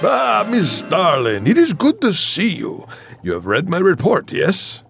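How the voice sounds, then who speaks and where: An actor playing a proud, eccentric scientist, formal and theatrical, in a campy audio drama. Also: male voice, sits high, huge pitch swings, unhurried, creaky, fairly bright tone, faint music behind, full character voice. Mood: eager, boastful. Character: eccentric foreign male scientist, proud and eager.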